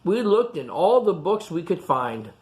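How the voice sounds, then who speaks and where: A man reading a picture book aloud to young children, pausing for simple explanations and questions. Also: sits high, very animated, darkish tone. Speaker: storytime reader for young children. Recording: echoey room sound.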